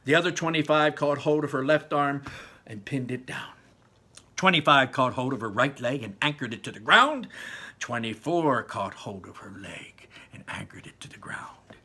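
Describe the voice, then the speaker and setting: A man reading a children's book aloud in an animated way, dropping to whispers for effect. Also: medium pitch, moderate pace, slightly rough. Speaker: read-aloud narrator.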